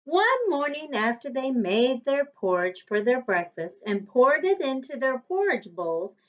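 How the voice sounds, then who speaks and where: A woman reading a children's story aloud as a teacher would, in a deliberate storytelling manner with emphatic contrasts. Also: high voice, very animated, fairly bright tone. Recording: dull, lo-fi recording.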